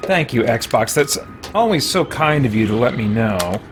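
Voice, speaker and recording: A man's voice: medium pitch, very animated, normal speed, music in the background. A middle-aged man chatting casually as he hosts a game livestream, thinking aloud in a rambling way. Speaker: livestream host playing a video game. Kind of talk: casual live game commentary and chat. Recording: noticeable background noise.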